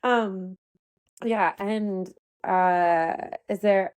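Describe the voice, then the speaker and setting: A woman hosting a podcast interview, asking halting questions and laughing along with her guest. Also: mid-range voice, steady tone, a little mellow, clean tone. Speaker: podcast host. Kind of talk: casual interview chat.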